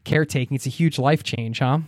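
A man's voice: medium pitch, brisk, no room sound, studio quality. A man hosting a podcast interview in a casual, chatty way, laughing often and using plenty of fillers. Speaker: podcast host.

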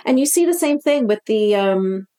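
A woman's voice: a little high, animated, warm tone. Canadian-accented woman guest, emphatic storyteller. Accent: Canadian English. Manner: emphatic, fast-flowing storytelling. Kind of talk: conversational interview.

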